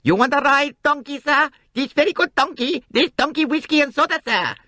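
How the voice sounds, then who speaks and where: A man voicing a pushy male street hawker who speaks broken English in a rapid sing-song patter. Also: very high voice, sharp tone, no room sound, voice pushed up.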